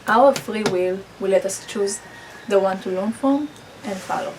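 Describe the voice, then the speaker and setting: Woman from israel practicing a speech, stops and restarts a lot, mic kinda far off, room echo and some background hum. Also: medium pitch. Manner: halting, with restarts and non-native grammar slips. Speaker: public speaking student. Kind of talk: rehearsing a personal speech. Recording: roomy, distant mic, background ambience.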